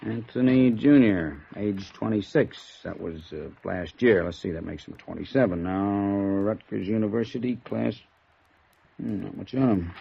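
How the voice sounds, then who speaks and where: An actor playing a breezy, slangy police sergeant with an offhand manner in 1950s radio noir style. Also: male voice, on the low side, measured pace, gravelly, breathy edge, full character voice. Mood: offhand, businesslike. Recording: dull, noisy vintage radio recording.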